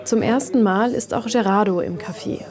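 A woman's voice: mid-range voice, moderate pace, a crowd in the background. A woman reporter reads scripted narration for a radio reportage.

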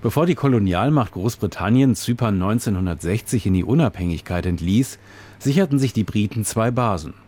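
A male radio reporter with a fairly low voice reads a scripted reportage. His delivery is measured and descriptive, like careful storytelling. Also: lively intonation, darkish tone.

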